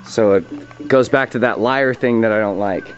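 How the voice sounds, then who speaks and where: A man's voice: fairly low pitch, normal speed. A plain-spoken man giving an informal, slangy, hands-on tutorial on repair work. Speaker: DIY auto body repair demonstrator. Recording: dull lo-fi audio, radio music behind. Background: radio music playing in the background.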